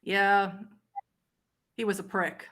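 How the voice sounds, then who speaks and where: A woman's voice: mid-range voice, flat delivery, slow, a bit bright. A woman voicing a gaunt, street-wise old vampire woman who talks in a blunt, folksy, needling way. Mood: gruff, knowing.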